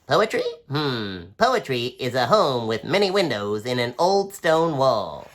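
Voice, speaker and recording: A woman's voice: very deep voice, big pitch swings, unhurried, heavily acted. A woman voicing a small, thoughtful, friendly chipmunk in a children's storybook.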